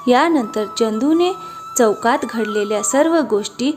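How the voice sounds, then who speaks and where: A woman's voice: a little high, animated, a little slow, a little mellow, soft background music. A woman narrating a scripted moral story as its storyteller.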